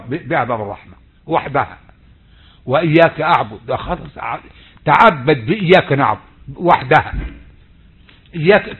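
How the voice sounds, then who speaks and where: A male lecturer with an Egyptian accent giving a slow, deliberate online lecture, often stretching words and restarting his phrases. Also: fairly high pitch. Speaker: university lecturer. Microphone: computer mic on a video call. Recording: tinny phone-like call audio.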